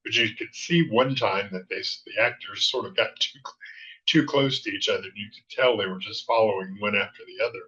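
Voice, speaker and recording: Man, low pitch, bright forward tone, halting casual host commentary, slightly dull recording. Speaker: show host. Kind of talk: casual commentary on vintage television.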